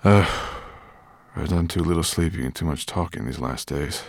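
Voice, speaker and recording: A man voicing a gentle, good-natured young dragon with a tired, murmuring manner. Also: a bass voice, moderate pace, no echo, studio quality. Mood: weary.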